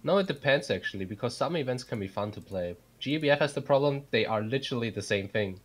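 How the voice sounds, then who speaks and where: A man's voice: mid-range voice, animated, fast talker. Male co-host, chatty and inquisitive, teasing tone, mid-range voice. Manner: curious, teasing, asks lots of questions. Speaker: podcast co-host. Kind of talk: casual gaming chat.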